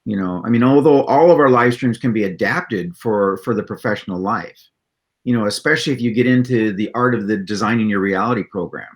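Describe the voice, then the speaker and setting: A man with a lowish voice co-hosts an online live talk. He speaks casually and without a script, as in a discussion with a colleague. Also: brisk. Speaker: livestream co-host.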